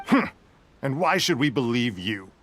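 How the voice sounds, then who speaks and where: Hostile soldier, scornful, blunt, very animated pitch, video game. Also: male voice, measured pace. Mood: suspicious. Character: hostile, suspicious male guard, scornful and hard.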